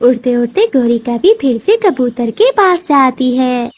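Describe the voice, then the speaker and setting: A woman's voice: high voice, animated, a little slow, a little mellow. A woman narrating a scripted children's cartoon story.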